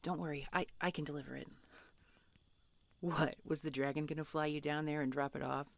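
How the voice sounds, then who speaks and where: Woman acting a creaky-voiced, prickly female dragon hunter. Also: sits low, fairly even intonation. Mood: softer, hesitant, then huffy, curious. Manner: halting, sighing, grudging, often hushed. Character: prickly tsundere female dragon hunter, guarded but softening. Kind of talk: fantasy audio roleplay, intimate ASMR-style acting.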